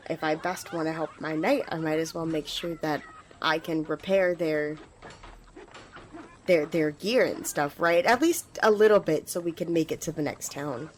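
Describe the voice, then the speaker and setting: A woman's voice: lowish voice, a little hiss or hum, lightly acted. A woman playing an eager, apologetic young squire in an ASMR fantasy roleplay, rambling and stammering as she speaks to the listener. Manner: rambling, hesitant, stammering, giggly. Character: eager, flustered young female magic-wielding squire, apologetic.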